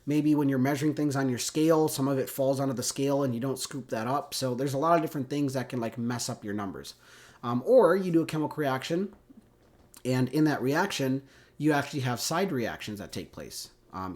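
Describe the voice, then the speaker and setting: A man's voice: medium pitch, darkish tone. Congested man giving a casual chemistry lecture. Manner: casual, checks in with "right?" and "okay?". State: congested from a cold. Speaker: chemistry teacher. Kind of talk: recorded classroom lecture.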